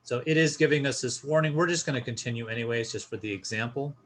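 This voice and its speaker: Male tutorial presenter, medium pitch, casual and instructional, guiding viewers through an on-screen walkthrough. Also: quick pace, a bit bright. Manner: informal, explanatory, step-by-step.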